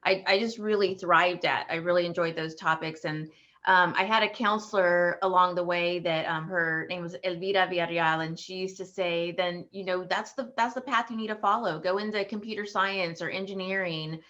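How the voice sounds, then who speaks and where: A woman's voice: on the low side, normal speed, bright, forceful tone, dull audio. A woman from Texas in her forties, telling her career story to students with many ums and restarts. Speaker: panelist, patent attorney.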